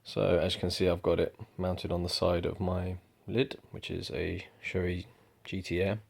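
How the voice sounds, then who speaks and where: Male vlogger, young, low flat delivery, easygoing, um-heavy. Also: a little slow, vocal fry. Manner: relaxed, rambling, many ums. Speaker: motorcycle vlogger. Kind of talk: casual product review. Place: indoors at home.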